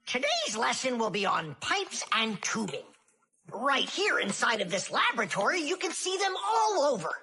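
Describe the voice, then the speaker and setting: A woman's voice: on the high side, wildly swooping pitch, normal speed, pushed and bright, husky, full character voice. A woman voicing a fussy, strict schoolteacher in a cartoon lesson, emphatic and instructive. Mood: brisk instruction.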